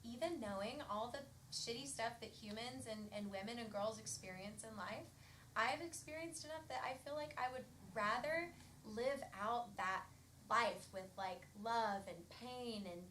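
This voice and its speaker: A woman improvising as Barbie, a naive, earnest and playfully babyish doll, with a halting delivery in a live comedy show. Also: on the high side, a bit bright, pitched below the performer's own voice, natural voice. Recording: noisy live stage recording.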